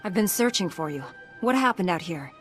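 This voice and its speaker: A woman's voice: lowish voice, animated, fairly quick, soft background music, close to an everyday voice. Woman as earnest young heroine apprentice. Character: resolute young female Jedi apprentice hero. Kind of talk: video game dialogue.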